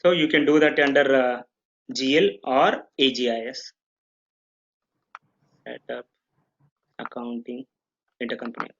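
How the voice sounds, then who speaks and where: Brief: male trainer, mid pitch, talks through setup steps with lots of "okay"s, dull lo-fi audio. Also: steady tone, unhurried, pauses often, a bit bright. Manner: explanatory, full of "okay" checks and restarts. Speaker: software trainer. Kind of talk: live online training session.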